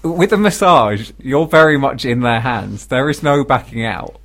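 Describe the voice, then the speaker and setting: Animated British man telling comic anecdotes. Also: medium pitch, normal speed, a crowd in the background. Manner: self-deprecating, animated storytelling. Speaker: radio show co-host. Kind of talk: casual comic chat, anecdote.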